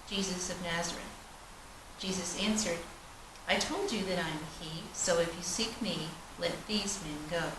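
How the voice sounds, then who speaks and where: A woman reading scripture aloud in a solemn style at a church service. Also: mid-range voice. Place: large indoor church space. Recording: roomy, noisy, distant church recording.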